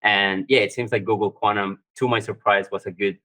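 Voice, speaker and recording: A man from Colombia, speaking as a panelist in a relaxed, casual way with many "like" fillers. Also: on the low side, brisk.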